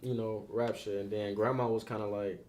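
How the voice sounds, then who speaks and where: A young man from Detroit, a rapper, talking about his music in a relaxed, slangy podcast chat. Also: on the low side, normal speed. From Detroit, USA. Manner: laid-back, slangy, repeats "you know what I'm saying". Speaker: rapper being interviewed.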